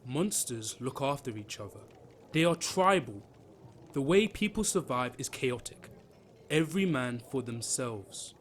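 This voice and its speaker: A man's voice: medium pitch, lively intonation, a little slow, slight background noise, natural voice. A man playing an impassioned, bitter agitator. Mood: lecturing. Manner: impassioned, lecturing. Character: bitter, angry man railing against the rich.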